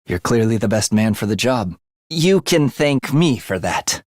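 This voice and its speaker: A man's voice: mid-range voice, very animated, a little slow, no room sound, studio quality. A man voicing an eerie, bloodthirsty young male student in a video game, with a sinister, theatrical manner that savors morbid details. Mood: sly, determined. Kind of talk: video game character voice acting.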